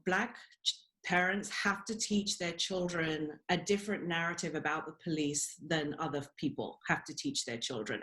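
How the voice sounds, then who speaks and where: A woman's voice: on the low side, a bit bright. A woman in her forties with a British accent, a professor answering questions on a radio show and speaking earnestly, with frequent fillers and self-corrections. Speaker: professor, interview guest. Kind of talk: radio interview.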